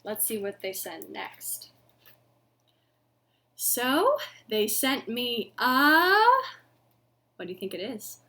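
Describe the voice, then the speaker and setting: A woman in her thirties reads to young children as a storytime host. Her voice sits fairly high and swings widely in pitch. She speaks very slowly, in an expressive sing-song way, addressing the children directly. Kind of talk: children's storytime, interactive read-aloud. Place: living room at home.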